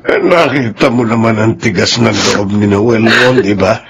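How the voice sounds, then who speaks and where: A man's voice: lowish voice, a little slow, creaky, fairly bright tone. An actor playing a protective, indignant grandfather in a Filipino radio drama, speaking with weighty emphasis. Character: protective, indignant grandfather worried for his daughter. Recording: music bed under the voice.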